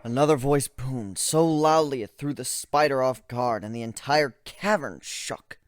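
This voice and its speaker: A man's voice: medium pitch, a little slow, no room sound. A man giving a dramatic first-person reading of a story as its narrator, close to the microphone.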